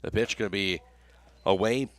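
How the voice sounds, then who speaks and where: A man's voice: lowish voice, moderate pace, a bit bright. A man working as a radio play-by-play announcer, calling baseball and reading sponsor spots. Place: live radio broadcast from a ballgame.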